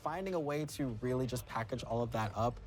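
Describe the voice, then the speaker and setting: A man's voice: mid-range voice, fairly quick, a little mellow. Guy dev talking in an interview, articulate and emphatic, light music behind. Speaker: game developer. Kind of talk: developer interview in a promo video.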